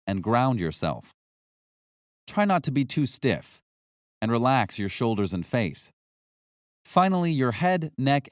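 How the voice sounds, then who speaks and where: Man narrating with lively, varied intonation. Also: medium pitch, dry recording, clean studio sound. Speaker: audiobook narrator. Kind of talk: scripted nonfiction audiobook narration.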